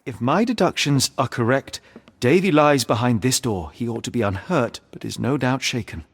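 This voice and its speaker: A man playing a composed, sharp-minded detective in a video game, speaking with precise, measured, analytical authority. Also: mid-range voice, lively intonation, husky, no echo. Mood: analytical, intent. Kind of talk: dramatic detective game dialogue and deduction narration.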